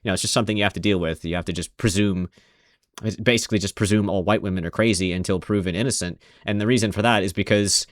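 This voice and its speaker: Male commentator, low-ish voice, dry close sound, rambling and sarcastic. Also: steady tone, quick pace, dry recording. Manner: rambling, sarcastic, many restarts. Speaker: video commentator. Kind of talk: casual commentary over video clips.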